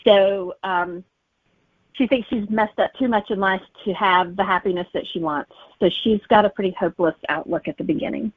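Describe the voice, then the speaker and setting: A woman's voice: mid-range voice, moderate pace, slightly rough, dry recording. A woman author chatting casually as an interview guest, telling stories about her writing. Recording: tinny narrow-band telephone-like audio.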